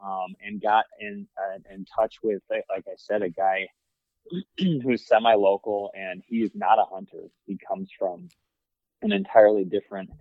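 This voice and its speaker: A man's voice: on the low side, moderate pace, no echo, phone-quality sound. A man guesting on a hunting podcast, talking in an easygoing, hesitant way with lots of ums and likes. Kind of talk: casual podcast conversation.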